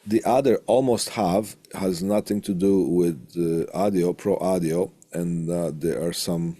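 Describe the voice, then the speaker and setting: Man, very low husky voice, college instructor, hesitant pauses, informal lecture, close dry studio mic. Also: fairly even intonation, dark tone, no room sound. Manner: halting, hesitant delivery with long pauses. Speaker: college instructor teaching audio equipment. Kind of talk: informal recorded lecture over slides. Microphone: close studio condenser microphone through tube preamp.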